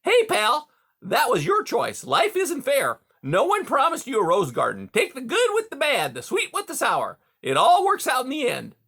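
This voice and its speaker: A smarmy, jovial male beaver, talks in a high, loud, swooping voice. He rattles off folksy sayings with an oily salesman's cheer, a gravelly edge under it. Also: wildly swooping pitch, normal speed, heavily acted. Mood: smarmy hearty. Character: hearty, smarmy, big grinning male beaver.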